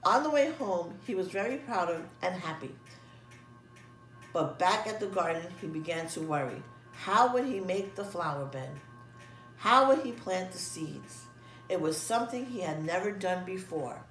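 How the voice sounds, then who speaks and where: Female storybook reader, animated delivery for children, roomy sound set back from the mic. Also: lowish voice, moderate pace. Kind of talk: expressive children's story read-aloud. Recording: echoey room, distant mic, music behind.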